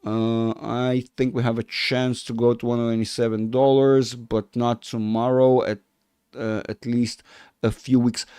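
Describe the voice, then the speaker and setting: A man from Israel whose first language is Russian talks loosely through a market livestream. He rambles, restarts often and gushes freely. His voice sounds smooth and resonant, and it is close and dry on the mic. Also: mid-range voice, level tone, measured pace, mellow, dry recording. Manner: rambling, self-correcting, effusive. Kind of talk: casual livestream chat.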